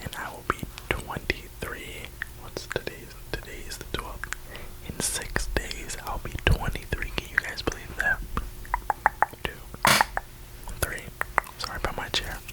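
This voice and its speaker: A man's voice: unhurried, long pauses, low-quality recording. A young man in his early twenties, from New York and Florida, whispering through a rambling Q&A and repeating his words, sitting close to the mic. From New York and Florida, USA. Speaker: ASMR creator. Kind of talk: whispered ASMR Q&A.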